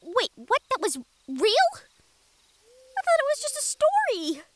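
A woman's voice: very high-pitched, wildly swooping pitch, slow pace, dry recording, full character voice. A woman doing a teasing, sarcastic young woman. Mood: baffled. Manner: teasing and wry. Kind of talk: audio roleplay acting.

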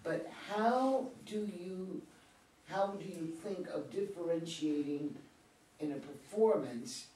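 A man's voice: sits high, takes it slowly, a little mellow. A man reading and speaking plainly at a staged script reading. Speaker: reader of stage directions. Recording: roomy, distant mic, faint noise.

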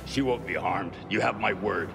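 A man's voice: on the low side, moderate pace, creaky, music in the background. An actor playing a composed, calculating middle-aged male military commander in a video game. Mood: measured. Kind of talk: dramatic video game acting.

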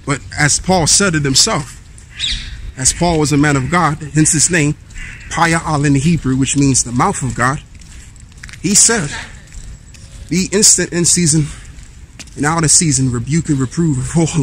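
A man's voice: fairly high pitch, moderate pace, fairly bright tone, a little hiss or hum. A man in his thirties preaching casually to his camera while walking through a wooded park, reading scripture aloud between loose remarks with fillers. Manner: conversational preaching with fillers, reads verses aloud. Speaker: street preacher vlogger. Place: outdoors in a wooded park path. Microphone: handheld camera at arm's length while walking.

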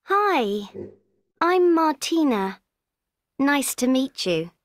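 A woman's voice: high-pitched, big pitch swings, drawn-out words, no room sound, studio quality, heavily acted. A woman voicing a friendly seventeen-year-old girl from Poland in a scripted textbook dialogue, carefully spelling out letters. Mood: plain.

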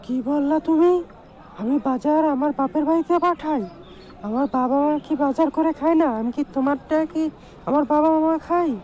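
A woman's voice: high-pitched, moderate pace, dark tone, lightly acted. A woman playing a bossy, hot-tempered village wife in a comic cartoon, scolding with a Bangladeshi Bengali accent. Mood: angry, threatening. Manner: scolding, demanding.

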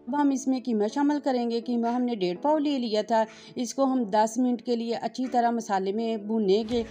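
A woman's voice: a little high, moderate pace, warm tone, faint music behind. A woman, hosting a cooking channel and narrating each step politely in a voice-over. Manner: step-by-step, polite, religious greetings.

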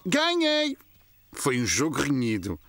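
A man's voice: mid-range voice, huge pitch swings, slow, fairly bright tone, no echo, faint music behind, heavily acted. An actor with a European Portuguese accent voicing a friendly, sporting older man in a children's cartoon.

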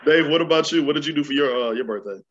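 An easygoing man hosts a casual interview over a video call. His questions come out loose and relaxed, and he often closes a thought with "man". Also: on the high side, rapid-fire, highs cut off, slight background noise. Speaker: host.

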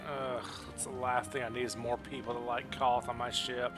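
A man's voice: mid-range voice, flat delivery, moderate pace. A young adult man giving casual live reactions as a game streamer, recorded close up indoors. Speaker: game streamer playing a role-playing game. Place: indoor room at a streaming setup. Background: game music and sound under the voice.

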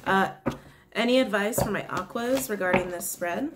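A young woman with a raspy, slightly worn voice reads tarot cards straight to the camera. She talks casually and chattily, often laughing and apologizing along the way. She is recorded in a small indoor room. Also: medium pitch, moderate pace, very noisy. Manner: chatty, giggly, apologetic asides. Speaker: tarot reader.

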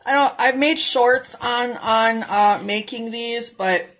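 A woman's voice: on the high side, slow, fairly bright tone, poor audio. Chatty woman cooking at home. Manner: chatty, homey, talks to viewers as y'all. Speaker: cooking vlogger. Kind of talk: casual cooking demo narration. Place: home kitchen, indoors.

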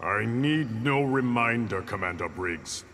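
An actor playing a noble, solemn former ruler and warrior with a formal, dignified delivery in a video game. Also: male voice, fairly low pitch, animated, a little slow, fairly bright tone. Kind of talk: video game fighter intro dialogue. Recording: cavernous reverb, noisy game ambience.

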